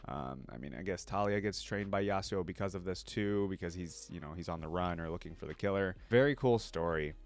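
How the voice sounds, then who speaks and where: A man's voice: low-pitched, a little fast, few pauses. A man hosting a reaction video, reading story text aloud and adding casual, exclamatory commentary. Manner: informal, exclamatory asides.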